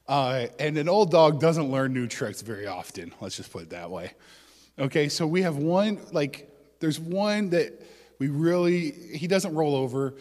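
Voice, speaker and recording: A male pastor giving a conversational sermon, with joking asides and loud bursts of emphasis. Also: on the high side, in a large hall.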